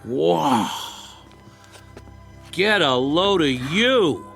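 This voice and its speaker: Weary, sardonic American male war survivor for radio drama; lively pitch, coaxing, plain speech over music. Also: very slow, creaky, heavily acted. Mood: soft, admiring.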